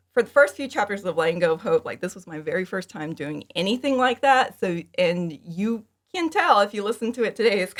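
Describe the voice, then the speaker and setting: Woman, a lower-toned voice, informal storyteller as podcast interview guest. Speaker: interview guest, fan audio drama creator. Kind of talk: casual chat, telling how a project came about.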